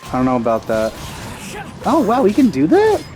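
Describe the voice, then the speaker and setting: A man's voice: high voice, wildly swooping pitch, moderate pace, a little mellow. A man giving casual, offhand, joking commentary as he plays through a video game. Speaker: let's play gamer. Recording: game music behind commentary. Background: game music.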